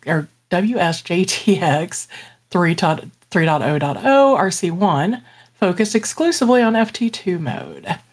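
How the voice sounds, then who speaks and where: A woman co-hosting a podcast, reading news items plainly and making dry joking asides. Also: fairly low pitch. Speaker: podcast co-host.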